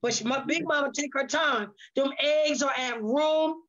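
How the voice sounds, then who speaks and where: A woman with a high voice speaks in a casual interview with a preacherly streak. She exhorts in short, emphatic, folksy phrases. The recording is dull and lo-fi. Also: moderate pace, fairly bright tone.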